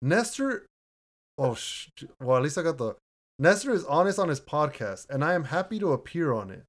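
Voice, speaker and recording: A man with a deep voice co-hosts a relaxed podcast from home. He reads listener reviews aloud in an easygoing way, often laughing and restarting his words. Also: normal speed. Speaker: podcast co-host. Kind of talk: casual chat reading listener reviews. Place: home room, recording remotely.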